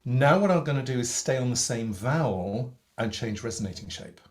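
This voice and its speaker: A middle-aged man, a voice coach, demonstrating singing technique in a relaxed, demonstrative manner from a home studio. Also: mid-range voice, normal speed. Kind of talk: teaching demonstration with sung vowels. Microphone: studio microphone with headphones.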